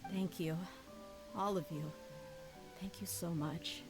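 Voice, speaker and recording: A tough, big-hearted female mercenary from a fantasy game. Her voice sits low and steady, sincere without fuss. Clear game music plays behind her. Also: slow pace. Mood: earnest. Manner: steady and earnest. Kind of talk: game voice acting.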